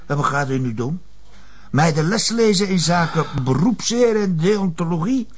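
A man's voice: fairly high pitch, lively intonation, normal speed. An actor playing a wily retired police commissioner in a crime drama, an older man with a Flemish accent and a teasing, chuckling manner. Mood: smug, amused. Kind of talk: television crime drama acting.

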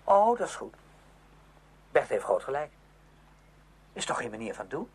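An actor playing a headstrong, outspoken young music student in a Dutch radio play, speaking emphatically and with defiance. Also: male voice, medium pitch, sing-song, unhurried, husky, exaggerated voice. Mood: indignant. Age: young adult. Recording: noisy old radio drama recording.

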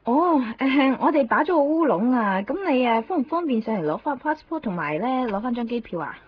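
A polite travel agency clerk with a Hong Kong Cantonese accent. Her voice is slightly high and animated, with hesitant service-desk courtesy. The radio drama recording is dull. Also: a little fast. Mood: apologetic, businesslike. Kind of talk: 1990s radio drama acting. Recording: dull radio recording, faint ambient noise.

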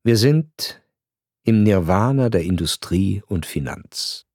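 Male narrator for a literary radio drama, low-set voice, dry studio read, measured and ironic. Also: expressive, a little mellow, dry recording. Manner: measured, ironic storytelling with deliberate pauses.

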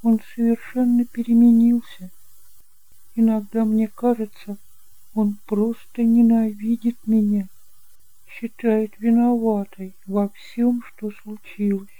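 A man voicing a gentle, sad woman in love, speaking softly and plaintively in an audiobook drama. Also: sits high, little pitch movement, slow pace, dry recording, phone-quality sound, voice pushed up.

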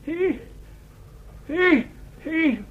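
A man's voice: very high voice, animated, drawn-out words, exaggerated voice. An actor playing a gloomy, fussy captive professor in a Dutch radio play, pompous and wordy in his fretting. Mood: agitated. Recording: muffled, noisy old radio play recording.

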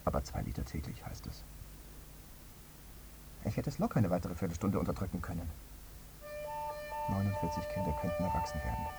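An actor playing a guilt-ridden airline pilot in a radio drama, fretful and subdued, brooding repetitively. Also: male voice, sits low, very animated, fairly quick, creaky, long pauses, music in the background, full character voice. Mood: guilty, brooding. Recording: muffled, dull, faint background noise.

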